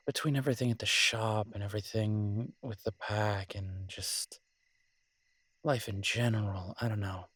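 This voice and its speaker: Low, husky, tender werewolf boyfriend played by a man. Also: steady tone, unhurried, a bit bright, no echo. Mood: tender, relaxed. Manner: hesitant, tender, conversational, lightly teasing. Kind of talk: romantic roleplay audio, intimate casual conversation.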